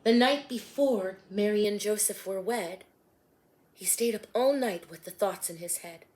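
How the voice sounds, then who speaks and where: A woman narrating her own rhyming storybook in a gentle, lilting way, softening to a hush at line ends. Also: mid-range voice, measured pace. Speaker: author and storyteller.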